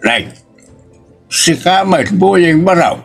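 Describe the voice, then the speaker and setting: A man with an Ilocos accent playing a grumbling older village father in a drama, with a gravelly voice. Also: high voice, lively intonation, takes it slowly, faint music behind, heavily acted. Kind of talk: Ilocano audio drama acting.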